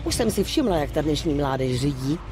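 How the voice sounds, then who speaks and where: An actress playing a brisk, shrewd, bossy middle-aged office insider in a dubbed game, matter-of-fact and chiding. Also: female voice, sits low, animated. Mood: bossy, knowing. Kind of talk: dubbed video game cutscene acting. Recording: clear vehicle and ambient noise behind.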